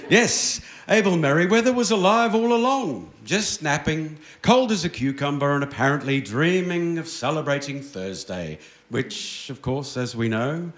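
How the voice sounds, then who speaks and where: A man's voice: a little high, animated, moderate pace, fairly bright tone. A man hosting and narrating a radio play in theatrical scripted style before a theatre audience. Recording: roomy stage with noticeable background noise.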